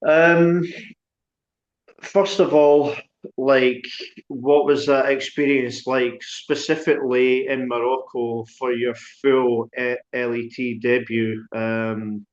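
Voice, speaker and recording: A male podcast host asks his questions in a loose, meandering way, leaning on "like" and "um" as he goes. His voice has a middle pitch and comes through a dull, lo-fi recording. The room around him sounds noticeably echoey. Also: flat delivery, slow. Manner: rambling questions, heavy "like" and "um" fillers. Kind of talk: casual interview questions.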